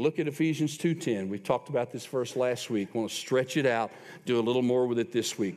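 A man preaching a sermon to a congregation as pastor, teaching scripture in an emphatic, conversational way and addressing listeners directly. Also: on the high side. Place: large church room, preaching live. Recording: long reverb of a large room.

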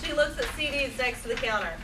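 A woman's voice: sits high, quick pace. A woman reading scripted stage directions at a live table read. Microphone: far camera microphone. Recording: distant echoey noisy stage recording.

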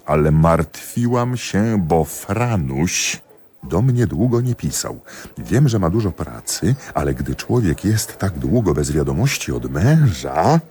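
An actor in a radio drama playing a jealous husband and magistrate, confiding ruefully and emphatically to a friend. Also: male voice, deep voice, a little mellow, exaggerated voice. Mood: reading tenderly. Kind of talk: theatrical radio drama monologue.

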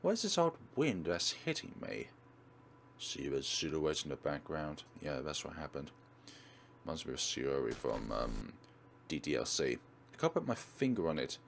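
Male gaming host, low register, very varied intonation, dramatic read-aloud and commentary over faint noise. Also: wildly swooping pitch, moderate pace, creaky. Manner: dramatic reading with exclamations. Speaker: let's play host. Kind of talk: reading a visual novel aloud with commentary.

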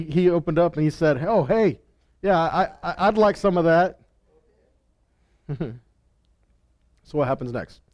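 A man's voice: high voice, a little mellow. A man in his thirties teaching a Bible lesson on stage with a headset mic, talking in a casual way, asking questions and acting out quoted lines. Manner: conversational, asks the room questions, acts out quotes. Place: stage with purple lights.

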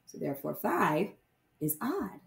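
A woman teaching primary math to young children, reading aloud and explaining deliberately with sing-song emphasis on key words. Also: lowish voice, sing-song, gravelly, roomy sound.

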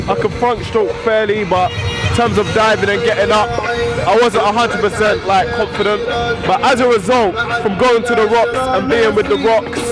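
A young man talks to a camera held at arm's length, in a fairly high voice. Loud music plays behind him on an open boat deck at sea. His talk is relaxed and casual, drifting into a sing-song now and then. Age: young adult. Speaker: vlogger. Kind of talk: casual vlog chat. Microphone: handheld camera at arm's length.

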